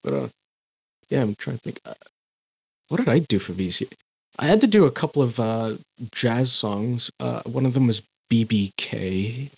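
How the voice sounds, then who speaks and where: A young man with a slightly husky voice chats easily on a podcast, relaxed and agreeable. His voice sits in a middle range and is recorded dry and close. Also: medium pitch, normal speed, no room sound. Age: young adult. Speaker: podcast co-host. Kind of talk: casual chat.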